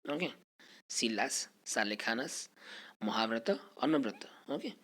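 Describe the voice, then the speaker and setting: Indian history teacher doing exam prep lecture, halting delivery, pitch jumps around a lot, keeps saying okay. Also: lively intonation, a bit bright. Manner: halting, repeatedly checking in with "okay".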